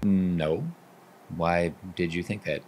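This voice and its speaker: A man's voice: sits low, fairly even intonation, slow pace, darkish tone. A man playing an earnest, jittery newlywed husband in a radio drama. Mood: easygoing.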